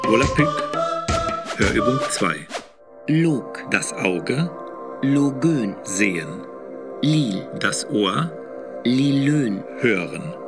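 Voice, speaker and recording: A didactic language-record instructor over clear music. He recites single words extremely slowly, with a lively rise and fall. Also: mid-range voice, heavily acted. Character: deliberate language-course instructor reciting vocabulary. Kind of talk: scripted listening exercise, word by word. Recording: music behind the voice.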